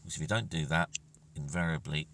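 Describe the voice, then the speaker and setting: A man's voice: extremely low pitch, fast, fairly bright tone, a little hiss or hum. A man giving casual commentary on his own gameplay, muttering to himself and often trailing into near-whispers. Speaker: gamer narrating his own play. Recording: distant mic with music playing.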